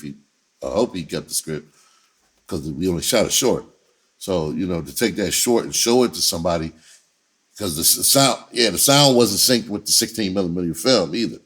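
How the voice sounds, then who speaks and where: A man with a low, husky voice talks casually, as if telling a personal story on his own channel. His delivery is conversational and streetwise, loaded with slang and swearing.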